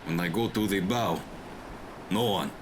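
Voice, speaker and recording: An actor playing a superstitious foreign ship's mate, haunted and intense, in halting broken English in a melodramatic radio play. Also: male voice, low-pitched, noisy background, close to an everyday voice. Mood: fearful, urgent. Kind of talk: melodramatic radio drama acting.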